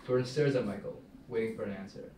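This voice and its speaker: A man's voice: fairly low pitch, fast talker, warm tone. Young man narrating in an echoey room. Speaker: narrator reading stage directions. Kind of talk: scripted narration at a table read. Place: small meeting room, indoors. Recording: roomy, distant mic, faint noise.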